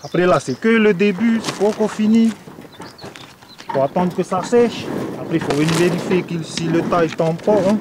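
A man's voice: sits high, moderate pace. Guy, 30s, laid back, lots of fillers, noisy outdoors. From Austral Islands, French Polynesia. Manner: easygoing, filler-heavy speech. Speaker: copra farmer interviewee. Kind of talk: relaxed interview. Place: outdoors under palm trees. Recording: outdoor noise behind voice.

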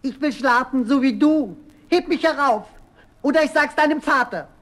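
Casting: woman as fairy-tale frog, slightly high voice, deliberate, insistent, demanding. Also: lightly acted. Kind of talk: fairy-tale radio play acting.

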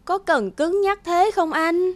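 A woman playing a soft-hearted, hesitant young office worker in a cartoon, speaking politely. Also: very high voice, animated, takes it slowly, dry recording, full character voice. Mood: polite, puzzled. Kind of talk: cartoon dub acting.

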